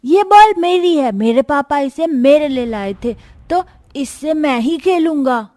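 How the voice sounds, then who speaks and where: High-pitched whiny small child played by a woman. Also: animated, unhurried, darkish tone, no room sound, studio quality. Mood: squabbling, insistent.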